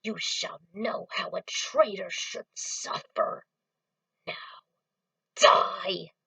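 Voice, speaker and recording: A woman's voice: mid-range voice, creaky, pushed and bright, slightly rough, dry recording, recorded from a distance, exaggerated voice. Woman putting on a whispering cold villain. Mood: menacing. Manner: slow hissing whisper. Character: sinister male villain, slow cold menace.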